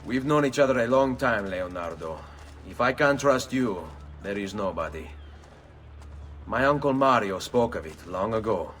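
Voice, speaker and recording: An actor playing a determined young male assassin in a game cutscene, speaking in a low, intense way. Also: animated, moderate pace, a bit bright, soft background music. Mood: earnest. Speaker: game protagonist. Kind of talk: video game cutscene acting.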